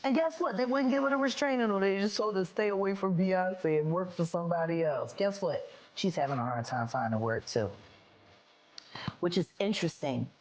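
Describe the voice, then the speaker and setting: A woman's voice: lowish voice, a little fast, a little mellow, rough recording. A woman talking casually as a gossip commentator, blunt and profane in her manner.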